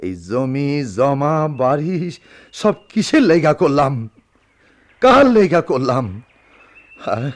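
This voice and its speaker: A man's voice: a little high, big pitch swings, takes it slowly, long pauses. An actor playing a scheming older village headman with a rural Bangladeshi accent, blustering and wheedling in a radio drama. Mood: bitter, vengeful. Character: scheming greedy village headman, father pleading with his grown son.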